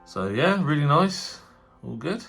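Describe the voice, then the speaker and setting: A man's voice: fairly high pitch, sing-song, a little slow, gravelly, a bit bright, breathy edge, soft background music. A man with a cold and a nasal voice, hosting a casual tabletop wargaming unboxing. He rambles chattily, full of fillers and restarts. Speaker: tabletop wargaming channel host.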